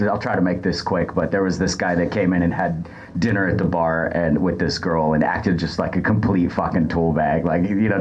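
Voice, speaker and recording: A man's voice: sits low, muffled recording. A man chatting casually on a podcast, telling anecdotes in a crude, profane way. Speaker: podcast guest, service worker.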